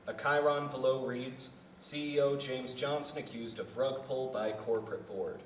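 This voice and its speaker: A man's voice: medium pitch, fairly even intonation, moderate pace. A man reading stage directions at a live table read in a plain, matter-of-fact way. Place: festival venue room. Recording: echoey room, distant mic, faint noise.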